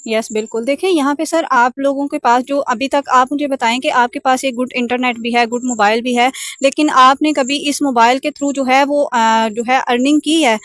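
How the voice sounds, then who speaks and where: A woman's voice: sits high, fairly even intonation, moderate pace, hardly any pauses, a bit bright, dry recording. A woman explaining an offer as a sales coach on a call, wordy and instructive, mixing in many English terms. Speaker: sales coach pitching an online training course.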